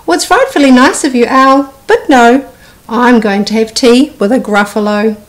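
A woman's voice: on the high side, normal speed, lightly acted, poor audio. A woman voicing a small, polite but boastful mouse in a children's storybook. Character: small, cheeky, confident brown mouse, polite trickster.

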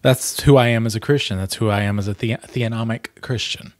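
A man's voice: fairly low pitch, steady tone, fairly quick, no room sound. A man in his thirties speaking as a vlog host and storyteller, earnest and emphatic, close to a desk microphone. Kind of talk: storybook reading and candid commentary. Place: small plain room indoors.

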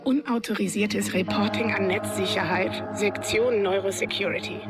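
An actress playing a taunting, defiant hacker sending a message in a sci-fi audio drama. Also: female voice, medium pitch, fairly even intonation, normal speed, over loud music, natural voice.

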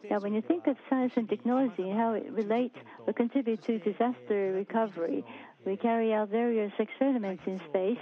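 Woman interpreting over a tinny line. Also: medium pitch. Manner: halting, with restarts and fillers. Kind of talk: simultaneous interpretation. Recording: phone-like narrow-band feed.

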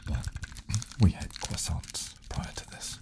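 A man in his thirties hosting casual ASMR, giving gentle, dryly playful instructions close to a studio microphone. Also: takes it slowly. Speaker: host of an ASMR channel. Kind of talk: hushed ASMR, casual demonstration. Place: small furnished indoor room, seated at a table. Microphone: close studio condenser microphone on the table.

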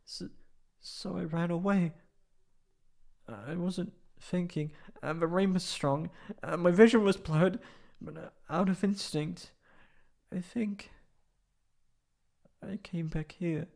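A man voicing a wounded, anxious young catboy in an emotional ASMR roleplay, halting and stammering tearfully. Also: sits high, gravelly, darkish tone, heavily acted. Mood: shaken, confiding.

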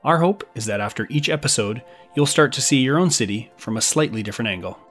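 A man hosting a podcast, reading a scripted intro in a measured, even way and asking interview questions. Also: mid-range voice, faint music behind. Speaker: podcast host.

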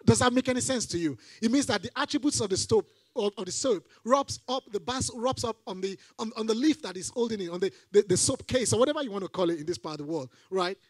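A middle-aged preacher talks into a handheld mic from a church stage, his voice pitched high for a man. He swings into emphatic repeated lines as he drives his points home. The sound is close and dry, with little room echo. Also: fast talker, hardly any pauses. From Nigeria. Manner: animated, rising to emphatic repeated phrases. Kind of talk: sermon.

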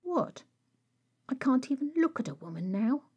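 A woman's voice: sits high, sing-song, moderate pace, darkish tone, husky, muffled recording, pitched above the performer's own voice, heavily acted. A woman voicing a petulant, teasing male vampire with a whiny, indignant way of protesting, in an audio story. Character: petulant, defiant, teasing male vampire, reckless party lover.

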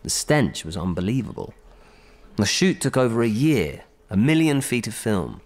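Earnest devoted assistant, lively low-ish voice. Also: male voice. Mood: reflective. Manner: reflective, earnest, halting. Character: devoted, self-sacrificing film director's assistant, earnest and obsessive. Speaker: actor. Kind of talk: naturalistic radio drama monologue and dialogue.

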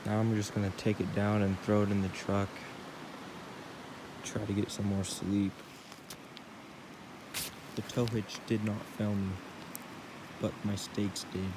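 A young man vlogging a solo camping trip in a laid-back, deadpan way, talking to a handheld action camera from his hammock and his truck. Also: lowish voice, unhurried, pauses often. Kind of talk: casual solo camping vlog. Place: outdoors in a hammock, then inside a truck cab. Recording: action camera with outdoor noise.

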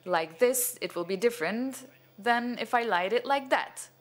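Older man, halting lecture, fillers, stand mic on a cinema stage. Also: very high-pitched. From Venezuela. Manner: hesitant, many fillers and restarts, gestures broadly. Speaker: cinematographer giving a masterclass. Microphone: stand microphone.

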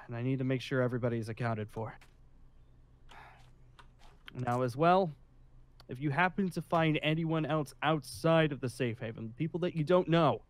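A man playing an officious, somewhat awkward leader of a survivor haven in an improvised zombie roleplay, speaking formally with hesitant pauses. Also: medium pitch, lightly acted. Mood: officious, careful. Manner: formal and rule-minded, with hesitant pauses and sighs.

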